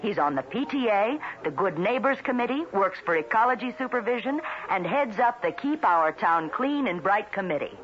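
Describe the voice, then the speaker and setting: An actress playing an idealistic, feisty young woman reporter in a 1970s radio drama, earnest and quick-witted. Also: medium pitch, lively intonation, close to an everyday voice. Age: young adult. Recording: muffled vintage radio broadcast, music behind.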